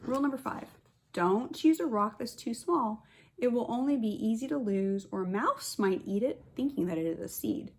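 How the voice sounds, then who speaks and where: A woman kindergarten teacher reading a picture book aloud, with expressive stressed words and chatty asides to the children. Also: on the high side, normal speed.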